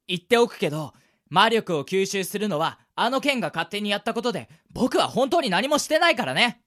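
A woman's voice: medium pitch, moderate pace. A woman playing an earnest, pleading young boy prisoner in a radio drama. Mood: anxious, pleading. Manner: expressive, boyish, protesting. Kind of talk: amateur radio drama acting.

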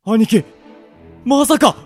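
A man's voice: extremely high pitch, slow pace, soft background music, exaggerated voice. A man acting an earnest, easily flustered yakuza underling, stammering and melodramatic in a radio drama. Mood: anxious, urgent. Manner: stammering, melodramatic, rough henchman's slang.

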